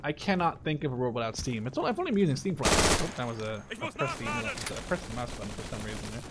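A man's voice: mid-range voice, very animated, fast talker, heavy background noise. A man doing casual video game commentary in a rambling, profane, easily distracted way. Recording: gunfire and clicks behind the voice. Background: video game gunfire.

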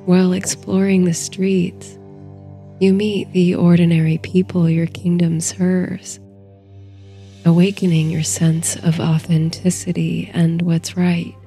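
Female sleep-story narrator. Very mellow and even, soothing and soft, faint music beneath. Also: lowish voice. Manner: soothing, hushed, unhurried delivery. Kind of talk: slow bedtime story narration, guided meditation.

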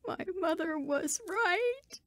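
A woman's voice: extremely high pitch, expressive, slow, fairly bright tone, no room sound, studio quality, heavily acted. An actress playing a frightened, self-loathing young pregnant woman in a radio drama, tearful and anguished. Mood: tearful despair. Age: young adult. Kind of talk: audio drama acting.

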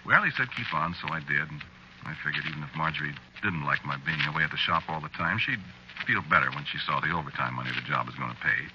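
An actor playing a troubled working man who tells his story in a halting, confiding, conversational way, in 1940s radio drama style. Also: extremely low pitch. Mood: confiding, uneasy. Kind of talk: 1940s radio drama first-person narration. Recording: noisy, muffled old radio broadcast.

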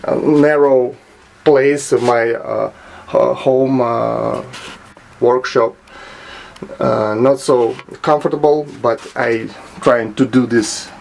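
A man with a Russian accent talks slowly through a hobby project, stopping often to hunt for the next word. His pitch sits at an ordinary middle level, and a faint hum of workshop ambience stays under the voice. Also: fairly even intonation, gravelly, a little mellow. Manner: halting, many filler sounds and restarts. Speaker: hobbyist restorer. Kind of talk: hesitant show-and-tell narration. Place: small home workshop.

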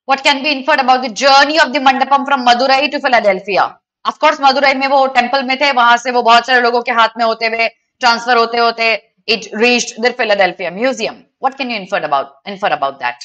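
A young Indian-accented woman works through exam answers for her online students. Her voice is fairly high and very bright, and she talks fast, putting emphatic questions to the class as she explains. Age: young adult. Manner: mixes Hindi and English, addressing students directly. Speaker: exam prep teacher.

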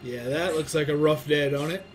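A man's voice: fairly high pitch, a little fast. An American man in his twenties giving casual, crude, joking reaction commentary full of swearing. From Arizona, United States. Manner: excitable, crude, joking, muttered asides. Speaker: reaction video host. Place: small plain room indoors. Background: animated short soundtrack with music.

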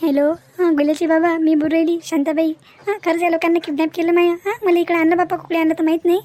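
A woman's voice: extremely high pitch, flat delivery, fast talker, warm tone. A woman voicing a kidnapped village wife in a cartoon, pleading and repeating herself. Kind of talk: Marathi cartoon comedy dialogue.

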